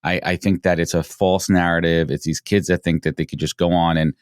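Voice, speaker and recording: Man, 40s, podcast host, low pitch, animated questions, dry close recording. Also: a little fast, clean tone, dry recording. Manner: animated, repeats words when starting questions. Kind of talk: conversational interview hosting.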